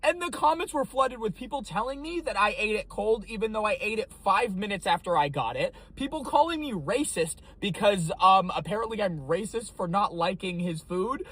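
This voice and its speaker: A young food reviewer from Texas speaks to camera with a high, husky voice. His pitch swings widely as he talks. He sounds defensive and exasperated. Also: animated, normal speed, hardly any pauses, fairly bright tone. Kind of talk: casual vlog to camera.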